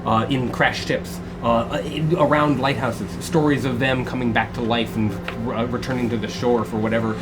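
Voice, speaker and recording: A man running a tabletop game as game master, narrating in a halting way with many fillers. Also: mid-range voice, fairly even intonation, music in the background, rough recording. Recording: background noise.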